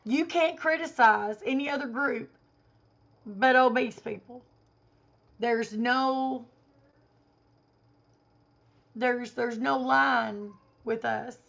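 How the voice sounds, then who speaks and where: A woman vlogger giving an indignant opinion rant to camera, hoarse because she has a cold and is losing her voice. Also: a little high, slow pace, fairly bright tone, highs cut off. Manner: indignant, emphatic.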